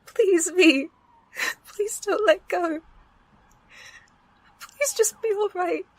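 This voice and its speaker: A woman's voice: very high voice, extremely slow, full character voice. A woman playing an anxious, protective, devoted character in an audio roleplay, earnest and emotional. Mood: distraught.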